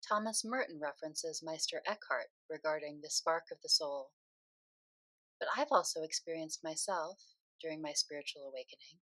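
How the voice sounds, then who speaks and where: A woman leading a guided meditation in a soothing, unhurried way, speaking phrase by phrase with pauses. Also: low-pitched, a bit bright, no echo, poor audio.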